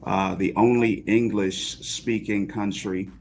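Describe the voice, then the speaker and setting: A man's voice: on the low side, fairly even intonation, takes it slowly, a little mellow, soft background music. A man from Guyana, a judge, answering interview questions in a formal, courteous way. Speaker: guest, judge.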